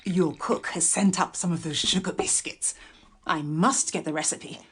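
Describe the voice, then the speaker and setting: An actress playing a bossy, self-righteous middle-aged English aunt in a period radio drama, officious and wordy. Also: female voice, fairly low pitch, moderate pace, breathy edge. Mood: aggrieved, brisk.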